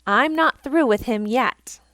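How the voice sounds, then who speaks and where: A sly, boastful male fox from a children's storybook, voiced by a woman, snapping and snarling with spite. The voice has a creaky edge and sounds close and dry. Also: medium pitch, normal speed, dry recording, natural voice. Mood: snappish.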